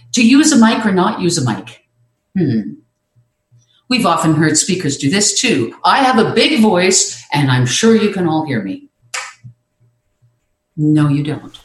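A speaking coach lays out advice in a measured, explanatory way, pausing now and then to find a word. The voice sits at a middling pitch. The recording carries a noticeable roomy echo. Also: big pitch swings. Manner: measured, explanatory, occasional hesitations. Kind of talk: instructive talk on presenting.

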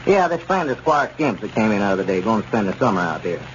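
Low-voiced easygoing small-town merchant. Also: male voice, sing-song, fast talker. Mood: sensible. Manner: chuckling, level-headed. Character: easygoing, amused, sensible small-town store owner. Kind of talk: 1930s radio comedy acting. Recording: dull, noisy 1930s radio recording.

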